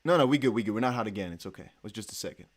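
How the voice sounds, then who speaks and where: A young man with a Dutch accent hosting a casual livestream, reading chat aloud and breaking into excited whoops. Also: on the low side, racing. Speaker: crypto livestream host.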